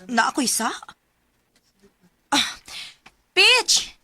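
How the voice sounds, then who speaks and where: A woman's voice: high voice, sing-song, extremely slow, background noise, full character voice. A woman playing a sensible, loyal young student in a radio drama, earnest and conversational, with a Cebuano accent. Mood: worried.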